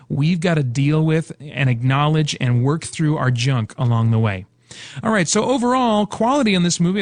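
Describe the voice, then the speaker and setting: A man with a moderately high-pitched voice hosts a review show. He talks in a chatty way, laying out his opinions and recommendations as a reviewer would. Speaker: podcast host and film reviewer.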